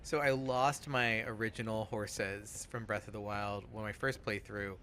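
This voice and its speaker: A man of about forty chatting casually over gameplay, rambling and halting, with plenty of swearing. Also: fairly low pitch, steady tone, pushed and bright, a little hiss or hum. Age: around 40. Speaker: host of a gaming let's play podcast.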